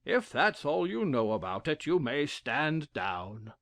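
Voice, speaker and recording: A man's voice: on the high side, very animated, slow pace, fairly bright tone, dry recording, full character voice. Man acting a pompous king, wildly swooping. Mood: testy. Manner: pompous, commanding. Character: pompous, testy, dim-witted king presiding as judge. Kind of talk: dramatized audiobook acting.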